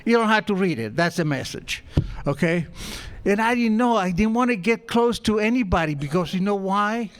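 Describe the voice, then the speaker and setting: Older Argentine-accented man, high voice, self-deprecating storyteller. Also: expressive, normal speed, smooth. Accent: Argentine Spanish. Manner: self-mocking, humorous, conversational. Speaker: recovery meeting speaker. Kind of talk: storytelling talk to an audience.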